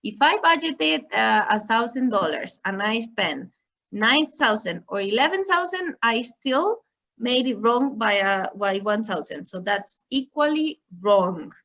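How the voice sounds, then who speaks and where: A woman's voice: on the high side, expressive, a little slow, a bit bright, dull audio. A woman giving an online masterclass on finance, explaining step by step with examples. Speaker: finance trainer. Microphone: video call.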